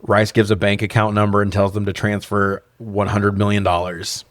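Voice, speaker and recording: A man's voice: lowish voice, completely flat delivery, normal speed, no room sound, clean studio sound. A man co-hosting a casual, comedic film recap, sarcastic and sweary, often talking with amusement in his voice. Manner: sarcastic, profane, laughs through remarks. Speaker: podcast co-host recapping films.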